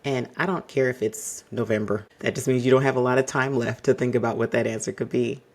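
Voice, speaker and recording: A man hosting an advice podcast, speaking to the listener in a polished, exacting and fairly monotone way. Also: mid-range voice. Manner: measured, exacting, addresses listener directly. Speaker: podcast host.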